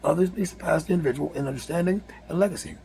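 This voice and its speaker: A man with a raspy, hoarse voice, reading a numbered list aloud with casual asides, often stumbling and rereading words. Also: fairly high pitch, very fast, darkish tone, slight background noise. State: hoarse from yelling. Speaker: spiritual video host.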